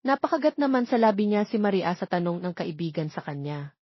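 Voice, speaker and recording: A woman's voice: medium pitch, very animated, brisk. A woman reading a romantic story as a scripted audiobook narration. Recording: roomy, slightly dull recording.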